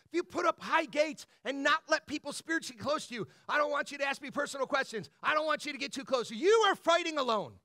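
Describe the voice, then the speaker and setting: A man preaching as a pastor in a conversational, motivational style, colloquial and animated, often checking in with the listeners. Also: very high-pitched, quick pace, hardly any pauses, dry recording, studio quality. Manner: animated, colloquial, punctuates points with "right?" and "bro".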